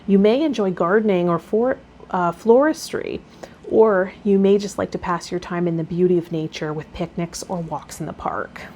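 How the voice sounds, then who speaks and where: A woman hosting a tarot vlog, talking in a gentle, encouraging way as she reads and explains. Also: on the low side, normal speed, a little hiss or hum. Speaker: tarot reader and channel host. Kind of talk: reading aloud and casual spiritual vlog talk.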